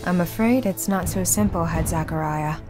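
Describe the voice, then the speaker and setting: A woman voicing a composed young female necromancer in a visual novel, speaking in a formal, guarded, measured way. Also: fairly low pitch, pitched below the performer's own voice, natural voice. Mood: earnest, restrained. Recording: loud background music.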